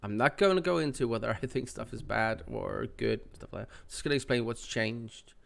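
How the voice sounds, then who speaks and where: A man's voice: mid-range voice, brisk, creaky. A man hosting his gaming channel, sounding nasal from a cold, giving a casual, rambling update with many fillers.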